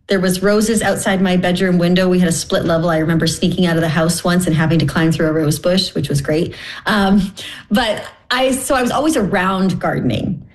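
A middle-aged woman from Canada telling personal stories as an interview guest, candid and gently self-deprecating. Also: on the low side, fairly quick. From Winnipeg, Canada. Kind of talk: personal storytelling in conversation.

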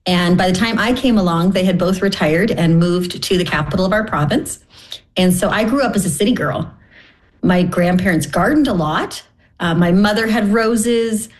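A middle-aged woman from Canada telling personal stories as an interview guest, candid and gently self-deprecating. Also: on the low side, normal speed, poor audio. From Winnipeg, Canada. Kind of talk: personal storytelling in conversation.

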